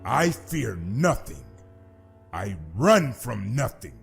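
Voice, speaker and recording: A man's voice: mid-range voice, very animated, slow pace, vocal fry, heavily acted. A man playing a stern, righteous Jedi master in a comic dub, speaking in a grave, resolute, formal manner. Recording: faint music behind.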